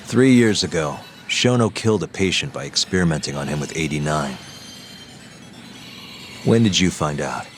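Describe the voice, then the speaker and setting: A tough game detective, low voice, swings a lot, blunt n menacing, music behind. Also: male voice, lively intonation, normal speed, long pauses. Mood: stern. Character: hard, determined male investigator threatening an interrogation. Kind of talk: dramatic video game acting.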